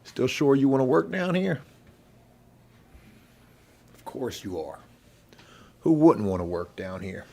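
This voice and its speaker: A man playing a middle-aged veteran inmate who bosses the prison kitchen, in an ASMR role play with a gravelly, husky voice and a folksy, intimidating manner. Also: medium pitch, big pitch swings, darkish tone, heavily acted. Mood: sardonic, warning. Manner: folksy, intimidating, drawn-out menacing delivery. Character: gruff, bossy veteran male prison inmate running the kitchen.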